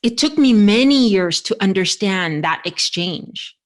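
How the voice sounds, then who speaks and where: A woman's voice: medium pitch, lively intonation, unhurried. An American woman in her forties hosting a podcast, talking casually and rambling, often restarting her sentences. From United States. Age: 40s. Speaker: podcast host.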